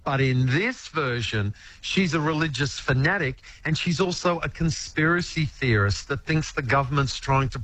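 A man's voice: on the high side, fairly bright tone, no room sound, poor audio. A man, a TV critic chatting on the radio in an enthusiastic way, with many fillers. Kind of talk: radio review chat.

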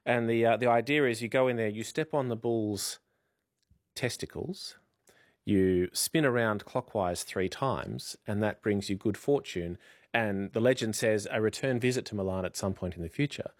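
A man tells a quirky news item on air in a loose, joking way, full of little ums and pauses. His voice sits a bit low and sounds close to the mic in a dry studio. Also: no room sound. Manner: chatty, playful storytelling with frequent ums. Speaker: radio news presenter. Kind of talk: casual radio banter and light news. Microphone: studio microphone.

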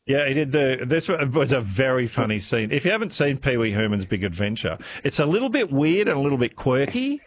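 A man hosting a talkback radio quiz, chatty and playful and quick to laugh, taking callers in a studio. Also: mid-range voice. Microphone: studio microphone.

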